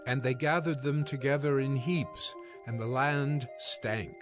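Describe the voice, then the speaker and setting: A man's voice: mid-range voice, unhurried. A man narrating scripture from a script as part of a dramatized reading. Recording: music bed behind the voice. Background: music.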